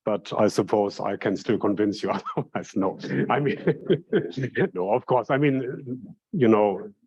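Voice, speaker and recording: A man who directs a study program, welcoming new students in a friendly, halting way with many restarts. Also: on the low side, a little mellow, husky, dull audio. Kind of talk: casual welcome talk.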